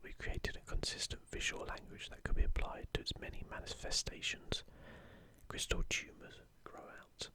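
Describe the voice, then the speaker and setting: An ASMR host whispering softly in a breathy, unvoiced voice, giving unhurried, rambling commentary. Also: rough recording. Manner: hushed, unhurried, rambling asides.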